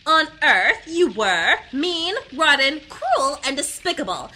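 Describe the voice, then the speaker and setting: A woman's voice: high-pitched, very animated, slow pace, bright, forceful tone, slight background noise, exaggerated voice, low-quality recording. A woman voicing a sassy, blunt bat who serves as a guide in Hell, mocking and matter-of-fact, in an audio drama. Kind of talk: audio drama character acting.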